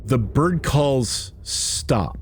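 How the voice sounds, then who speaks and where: A man speaks emphatically and descriptively, as if running a tabletop game. He leaves dramatic pauses on key words, and music plays clearly behind him. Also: mid-range voice, animated. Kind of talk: animated talk and improvised game narration.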